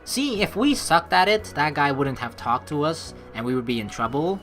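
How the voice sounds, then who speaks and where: A woman playing through a game as host, reading its text aloud and chatting with playful, expressive commentary. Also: deep voice, moderate pace, soft background music. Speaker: let's play host.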